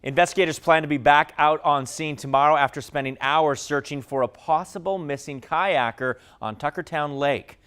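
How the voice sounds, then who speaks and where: A man's voice: on the high side, moderate pace, hardly any pauses, fairly bright tone, clear, resonant tone. A man with an American accent reading scripted television news as an anchor.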